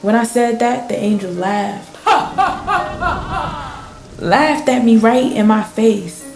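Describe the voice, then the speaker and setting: A woman's voice: on the high side, animated, extremely slow, loud music behind the voice, full character voice. A woman playing a storm-shaken fisher in a radio play, recounting a surreal vision at sea in intense, colloquial storytelling. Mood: amused. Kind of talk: dramatic radio play monologue. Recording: roomy, echoing sound.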